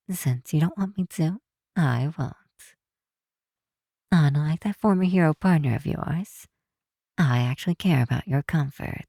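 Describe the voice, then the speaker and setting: A woman playing a possessive supervillain captor in an intimate ASMR roleplay, speaking in a measured, softly menacing yet reassuring way. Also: deep voice, no room sound, studio quality, heavily acted. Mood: coaxing, teasing. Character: possessive female supervillain captor, coaxing and protective. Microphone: close microphone in a dry space.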